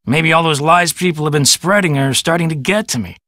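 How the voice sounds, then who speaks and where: A celebrity police detective for an adventure game. His voice sits fairly high and is forceful and bright, recorded dry. He is cocky, glib and condescending, forever boasting. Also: brisk, no room sound. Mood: sheepish. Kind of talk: video game character dialogue.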